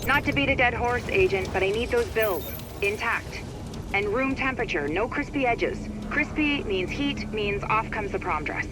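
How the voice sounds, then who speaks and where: A sardonic female virologist played by a woman from a video game. She talks in a dry, wordy way with a sarcastic edge, over clearly audible background noise. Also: on the high side, expressive, moderate pace, lightly acted. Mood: wry urgency. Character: wry, sardonic woman virus scientist.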